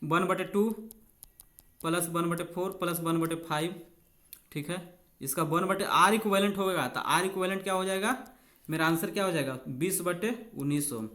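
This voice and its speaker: A man's voice: high-pitched, moderate pace, bright, forceful tone. A man giving an informal bilingual exam lesson, talking to students as friends while explaining questions step by step. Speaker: exam coaching teacher.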